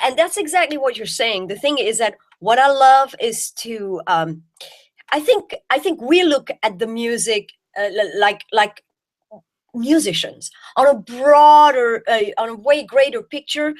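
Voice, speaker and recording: A woman from Belgium, a jazz singer and guest, chatting with enthusiasm and often restarting her words. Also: on the high side. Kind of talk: casual chat.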